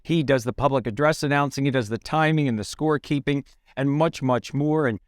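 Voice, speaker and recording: A man of medium pitch presents an interview as its host. He is upbeat and emphatic, often repeating a phrase to drive it home. His voice sounds dry and close. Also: a little fast, no room sound.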